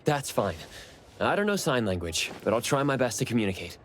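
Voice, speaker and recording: An actor playing a calm, helpful investigator in a video game cutscene, businesslike and reassuring. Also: male voice, mid-range voice, a little fast, a little hiss or hum. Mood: composed, helpful. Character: calm, helpful male investigator hunting anomalies. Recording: background music under the voice.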